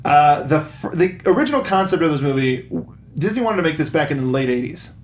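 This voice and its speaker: A man hosting a film review panel in a casual, somewhat halting way, putting questions to the others, recorded with roomy echo and rumble. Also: big pitch swings. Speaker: host of a film review show.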